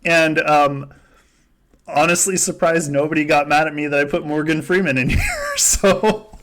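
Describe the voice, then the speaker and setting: A man's voice: fairly high pitch, fairly even intonation, normal speed, fairly bright tone. A man hosting a casual podcast game, chatting and joking with frequent swearing and laughter. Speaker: podcast host. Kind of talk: casual chat running a simulated reality-show game.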